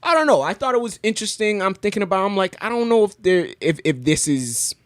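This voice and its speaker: A young man from Canada, a podcast guest, talking things through in a thoughtful, halting way with many restarts. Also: sits high, lively intonation, no room sound. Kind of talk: casual conversation.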